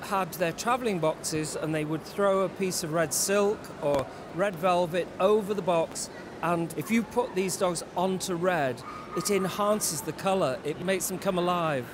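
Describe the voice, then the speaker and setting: Older bloke, slightly high voice, judge explaining stuff in an interview, busy hall racket behind. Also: male voice, unhurried. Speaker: dog show judge, interview guest. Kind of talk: measured expert interview answers. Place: large busy indoor exhibition hall. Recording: noisy show hall background.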